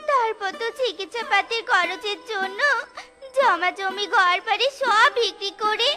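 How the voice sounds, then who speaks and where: Someone voicing a very high-pitched, humble beggar wife. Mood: consoling. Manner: pleading, coaxing, folksy. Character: poor beggar wife, devoted, humble and resigned. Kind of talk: melodramatic cartoon story dialogue.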